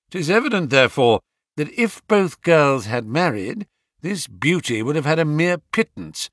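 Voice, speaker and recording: Gentleman sleuth played by a man: bland politeness, dry amusement, expressive range, close dry sound. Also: medium pitch, dry recording. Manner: imperturbable, polite, wry. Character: cool, bland, amused male gentleman detective.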